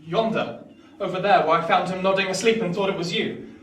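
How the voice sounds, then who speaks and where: A timid young English village lad in a radio drama. The voice is high, earnest and questioning. It comes from a little way off in an echoey, noisy room. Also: male voice, fairly even intonation, a little fast, a bit bright, far from the mic. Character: timid, inquisitive, polite young village boy. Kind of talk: radio play acting.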